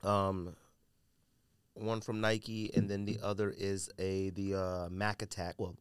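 A man's voice: sits low, little pitch movement, slow pace, smooth, no room sound. An American man from California hosting a podcast, chatting casually and informally with plenty of slang. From California, United States. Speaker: podcast host. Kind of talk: casual solo podcast chat about sneakers.

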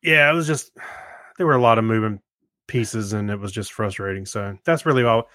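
A man's voice: on the low side, a little fast, pushed and bright, dry recording, clean studio sound. A man co-hosting a podcast in an easygoing, casual conversational way. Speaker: podcast co-host. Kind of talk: casual chat.

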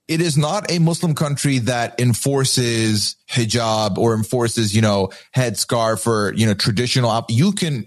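Opinionated man recapping a reality show. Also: mid-range voice. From Dubai. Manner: opinionated, emphatic. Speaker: podcast co-host.

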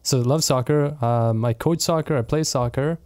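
A man's voice: medium pitch, moderate pace, dry recording, clean studio sound. A young man whose first language is Punjabi, an associate pastor, chatting casually in an interview with many fillers and easy laughs. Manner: relaxed and friendly, laughs easily, many fillers and restarts. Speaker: associate pastor of local mission.

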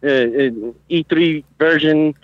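A man calling in to a show, chatting casually with a halting, rambling delivery. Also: on the high side, no room sound. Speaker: caller. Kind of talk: casual chat. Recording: dry, dull lo-fi call audio.

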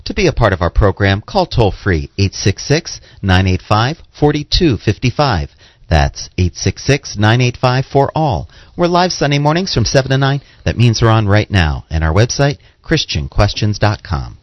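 A man's voice: on the low side, sing-song, normal speed, dry recording, lo-fi sound. A man announcing a radio programme in a scripted, emphatic announcer style.

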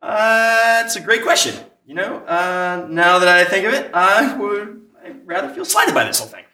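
A man's voice: sits high, very animated, moderate pace, pushed and bright. A man announcing a live radio-style comedy show as the hesitant, polite straight man, often stammering. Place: comic shop, live before audience. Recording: echoey live room recording.

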